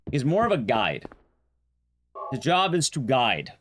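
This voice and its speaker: A man's voice: mid-range voice, huge pitch swings, unhurried, fairly bright tone, a little hiss or hum. A man streaming a game, giving informal, rambling commentary to his chat. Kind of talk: casual live commentary to chat. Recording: game music behind the voice.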